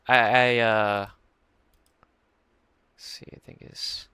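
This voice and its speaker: A young man with a low voice, rambling through a casual mixing tutorial at his computer, full of fillers and restarts. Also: little pitch movement, takes it slowly, pushed and bright, clean tone. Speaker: music producer explaining a mix. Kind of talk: casual screen-share tutorial. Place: small room at a computer.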